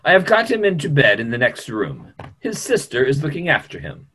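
A man's voice: mid-range voice, wildly swooping pitch, a little fast, pushed and bright, slightly rough, full character voice, rough recording. A middle-aged man playing a grave, courteous doctor in a play reading, formal and emphatic. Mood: courteous, serious. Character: grave, courteous male doctor, firm in his opinions. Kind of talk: remote script reading of a stage play. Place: small room at home, video call.

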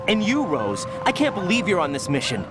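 An actor playing an anxious, earnest young special agent in a video game, intense and questioning. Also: male voice, high-pitched, wildly swooping pitch, brisk, loud music behind the voice. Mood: troubled, earnest. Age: young adult. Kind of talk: video game cutscene acting.

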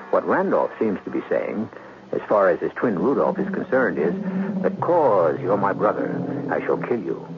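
A man hosting a radio drama with grave, measured, rhetorical scripted narration. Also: fairly low pitch, noisy background. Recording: muffled 1970s radio broadcast, music behind.

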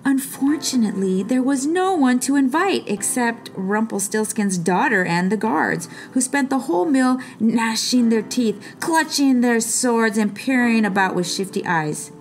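A woman reading a children's story aloud expressively, with dramatic pauses and stretched words. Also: on the high side, expressive. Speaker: storybook reader.

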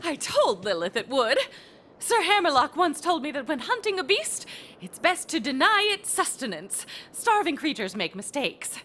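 Nervy eccentric science lady from a video game, really high bright voice, precise and formal, some game noise behind. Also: big pitch swings, normal speed, exaggerated voice. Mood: pleased, matter-of-fact. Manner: formal, precise wording with anxious self-coaching. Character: nervous, bookish, eccentric woman scientist.

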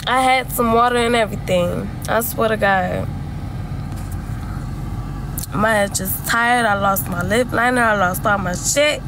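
A young woman livestreaming from a car on a phone, chatting bluntly and confessionally with heavy slang and swearing, her voice tired from yelling. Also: mid-range voice, measured pace, long pauses. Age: young adult. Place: inside a car. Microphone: phone held close at arm's length. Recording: noisy phone audio with vehicle ambience.

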